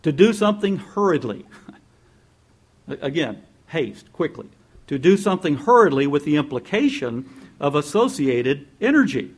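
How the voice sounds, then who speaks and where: A man preaching a sermon in a lively, conversational way, often laughing and acting out what people might say. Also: a little high, normal speed, slightly rough. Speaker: pastor.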